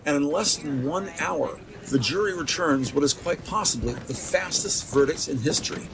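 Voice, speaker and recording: A man's voice: on the high side, moderate pace, gravelly, noisy background. A man narrating an audio drama from a script in a descriptive, theatrical storytelling manner. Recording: music behind the voice.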